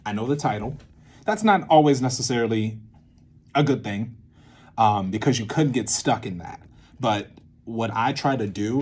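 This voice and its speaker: A man's voice: on the low side, a little mellow, a little hiss or hum. A man giving an informal whiteboard lesson, thinking aloud in a rambling way and often interrupting himself. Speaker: creative methods teacher and playwright.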